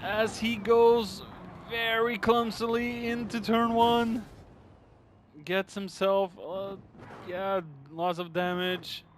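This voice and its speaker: A man with a high-pitched voice calls a race live over clear vehicle noise. He is informal and exclamatory, with hesitations between phrases. His voice sounds strained, as if it is starting to give out. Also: fairly even intonation, slow pace. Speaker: esports racing commentator. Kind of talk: excitable live race commentary.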